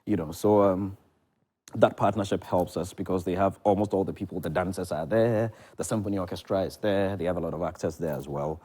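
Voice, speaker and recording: A man's voice: deep voice, brisk, warm tone. A man with a Ghanaian accent talking conversationally as a theatre producer and director being interviewed on television. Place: television studio.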